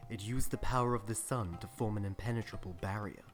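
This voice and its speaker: A man's voice: on the low side, fast talker, faint music behind, lightly acted. Man as a solemn fantasy game narrator. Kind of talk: scripted game cutscene narration.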